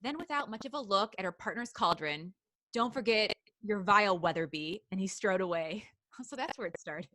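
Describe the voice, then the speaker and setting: A woman's voice: medium pitch, expressive, moderate pace, bright, forceful tone, dry recording. A woman co-hosting a live podcast, reading a fan story aloud with laughing asides and casual chat between passages.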